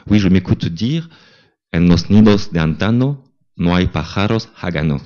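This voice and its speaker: Man, very deep voice, calm deliberate first-person reading, muffled lo-fi sound. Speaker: reader at a literary tribute. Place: small cinema salon. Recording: dull lo-fi event recording.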